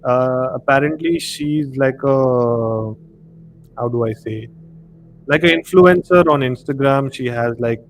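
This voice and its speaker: A man's voice: mid-range voice, measured pace. A man with an Indian accent speaking casually as the host of a live podcast discussion. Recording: clearly noisy live-stream audio.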